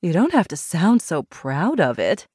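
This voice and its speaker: A woman as a dry, acerbic, low-toned woman. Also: sing-song, a little slow, darkish tone, husky, no room sound, exaggerated voice. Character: acid, sensible, dry woman friend.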